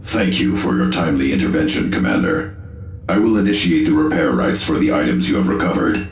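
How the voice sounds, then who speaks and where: An actor voicing a stoic cybernetic space marine engineer in a game, speaking in a measured, formal, technical way. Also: male voice, a bass voice, sing-song, gravelly, heavily acted. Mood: formal, composed. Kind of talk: scripted video game cutscene acting. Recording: echoing, music behind.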